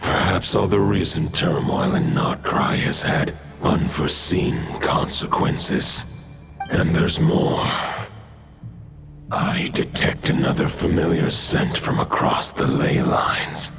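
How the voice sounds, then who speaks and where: Deep, slow, gravelly ancient wolf lord. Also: male voice, full character voice. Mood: grave, weary. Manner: solemn, formal, measured. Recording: music clearly behind the voice.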